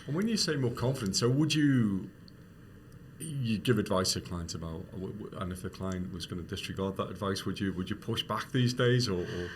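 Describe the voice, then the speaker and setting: A man co-hosting a property podcast, upbeat, chiming in quickly. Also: fairly low pitch, animated, a little hiss or hum. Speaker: co-host. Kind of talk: podcast interview.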